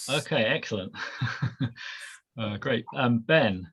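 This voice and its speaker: A man leading an informal academic discussion on a video call, halting and full of fillers and restarts. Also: mid-range voice, animated, a bit bright, slightly rough. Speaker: discussion leader, head of department. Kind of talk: hesitant informal academic chat.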